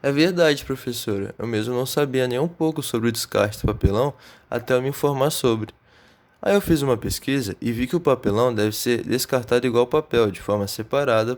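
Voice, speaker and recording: Eager Brazilian schoolboy played by an amateur actor in a radio play: mid-pitched, talkative, earnest and explanatory. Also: male voice, natural voice. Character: eager male student, studious and talkative. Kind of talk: scripted amateur radio drama.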